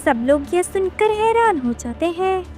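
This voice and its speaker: Female narrator, very high childlike pitch, scripted children's storytelling, music underneath. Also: sing-song, measured pace, a little mellow. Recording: music behind the voice.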